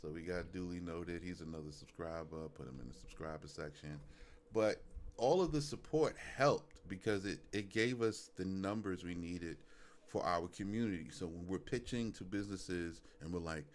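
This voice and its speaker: A low-voiced man with a Brooklyn accent hosts a live stream in a relaxed, rambling way. He talks loosely and keeps tossing in "you know what I'm saying." A faint hum of room ambience sits behind him. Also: moderate pace. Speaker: host. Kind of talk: casual livestream chat.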